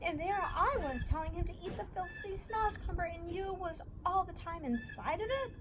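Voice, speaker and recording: A woman's voice: very high voice, expressive, moderate pace, background noise, voice pushed up. A woman voicing a kindly, innocent male giant who speaks earnestly in mangled comic grammar, as part of a storybook reading. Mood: tender, anxious.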